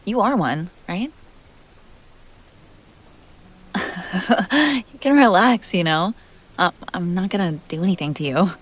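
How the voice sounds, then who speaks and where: A woman's voice: fairly low pitch, unhurried. A woman playing a self-assured, playful vampire heiress in an intimate roleplay audio drama, talking directly to the listener. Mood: teasing, reassuring.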